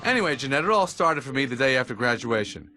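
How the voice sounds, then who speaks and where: Young male cartoon bee, wry, glib, bright forceful tone, lively pitch swings. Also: fast, faint music behind. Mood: modest, chatty. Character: wry restless young male bee, fresh college graduate.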